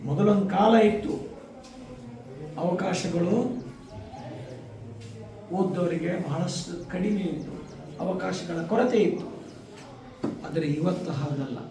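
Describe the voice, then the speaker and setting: A man giving a motivational speech to students, emphatic, with rhetorical repetition and deliberate pauses. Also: sits high, crowd noise. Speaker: guest speaker addressing schoolchildren. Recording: echoey, distant microphone, faint noise.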